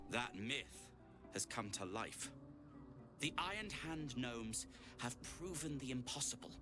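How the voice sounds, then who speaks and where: An actor playing an earnest, self-doubting gnome tinkerer in a video game, speaking hesitantly and wryly in formal phrases. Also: male voice, medium pitch, expressive, slow pace, music in the background. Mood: grave, worried. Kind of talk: video game character dialogue.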